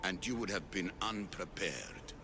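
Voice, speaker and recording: An actor playing an ancient, stern and secretive father figure in a video game, solemn and measured, with a gravelly, breathy voice. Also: sits low, sing-song, full character voice. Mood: detached, stern. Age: older. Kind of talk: video game cutscene acting. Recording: music behind the voice.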